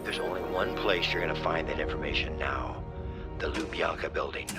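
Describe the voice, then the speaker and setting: A man's voice: extremely low pitch, steady tone, moderate pace. A man playing a composed, reserved intelligence officer in a game cutscene, speaking tersely and evenly. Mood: grave. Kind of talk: dramatic game cutscene acting. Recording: loud music behind the voice.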